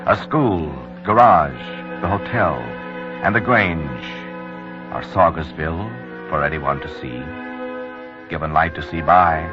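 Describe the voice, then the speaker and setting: A man narrating a 1940s radio drama as a solemn storyteller, in measured, poetic lines. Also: low-pitched. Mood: solemn, brooding. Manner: measured, dramatic verse delivery. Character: solemn, poetic male narrator of a lonely village tale. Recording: muffled vintage 1940s radio, music behind.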